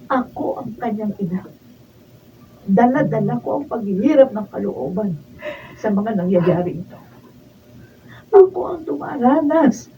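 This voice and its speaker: A woman's voice: high-pitched, mellow. A Filipino woman acting a stern, long-suffering, meddling mother in a radio drama. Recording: distant mic, faint noise.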